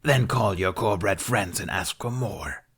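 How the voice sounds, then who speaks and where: Husky, low alien crime-boss matriarch. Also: male voice, fairly bright tone. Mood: gloating, menacing. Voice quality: guttural, husky bass growl. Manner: slow, menacing, contemptuous. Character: huge gloating, cruel alien crime-boss matriarch, quick to rage.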